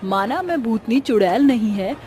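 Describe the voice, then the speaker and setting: Woman doing a cocky, high-voiced female challenger. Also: expressive, moderate pace, dark tone, natural voice. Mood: taunting. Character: cocky, combative female challenger, rapping boasts. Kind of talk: animated cartoon story acting.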